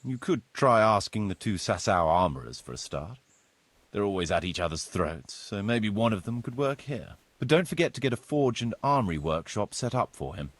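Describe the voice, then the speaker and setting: Husky, low-voiced medieval village steward. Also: male voice, big pitch swings, no room sound. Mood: helpful, matter-of-fact. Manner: measured, formal, instructive. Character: practical medieval village steward, courteous, businesslike adult man. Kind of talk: video game cutscene dialogue, explanatory.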